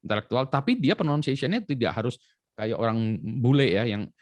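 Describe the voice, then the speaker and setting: A middle-aged man from Indonesia talks quickly as an expert guest, and his speech tumbles along with examples and fillers. His pitch sits in the middle. The recording is close and dry, with no room sound. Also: dry recording. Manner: animated, explains with examples, frequent fillers. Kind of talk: conversational interview explanation.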